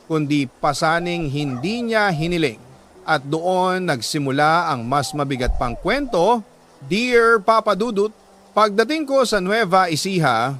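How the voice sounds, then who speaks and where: A man reading a scripted first-person letter as a dramatic story, in a solemn, measured, emotive way. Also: high-pitched, a bit bright, slight background noise.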